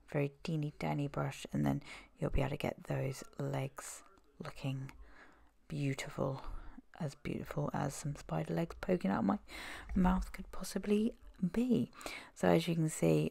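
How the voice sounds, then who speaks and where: Woman, husky from a cold, very gravelly, unhurried, chatty makeup voice-over. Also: low voice, warm tone, no room sound. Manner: chatty and self-deprecating, with frequent ums. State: has a cold. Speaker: makeup vlogger. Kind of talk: voice-over narration of a makeup tutorial.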